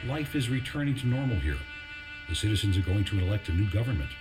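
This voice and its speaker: An actor playing a weary, grieving army major who solemnly delivers a measured farewell letter in a military video game. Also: male voice, on the low side, sing-song. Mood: weary, empty. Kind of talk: dramatic scripted monologue in a military video game. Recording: music under the voice.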